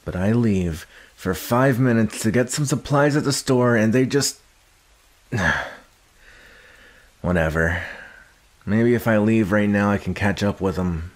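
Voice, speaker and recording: A young man playing a gruff, guarded but secretly caring villain in an ASMR roleplay, speaking haltingly with sighs and grudging tenderness. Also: on the low side, close to an everyday voice. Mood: annoyed. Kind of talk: ASMR roleplay addressed to the listener.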